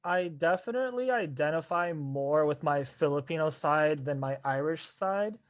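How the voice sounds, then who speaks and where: Young man with a slightly high voice. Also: expressive, normal speed, no room sound, studio quality. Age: young adult. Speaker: guest, former club advisor. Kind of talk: casual podcast chat.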